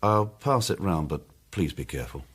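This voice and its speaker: An actor playing a methodical police chief inspector in a period detective radio drama, speaking in a formal, measured, matter-of-fact way. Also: male voice, low-pitched, expressive, husky. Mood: businesslike.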